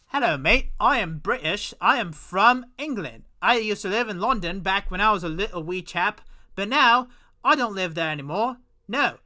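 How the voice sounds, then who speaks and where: A man putting on a chatty, pompous British gentleman as a deliberately bad comic impression on a live stream. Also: high-pitched, expressive, voice pushed up, full character voice. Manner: exaggerated, put-on fake British delivery.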